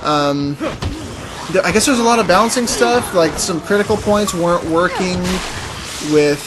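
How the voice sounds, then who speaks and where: A man's voice: sits high, moderate pace, background noise. A man co-hosting a gaming podcast, giving news in a loose, informal way and commenting on the gameplay as it goes. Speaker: podcast co-host. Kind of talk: casual gaming news chat. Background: game music.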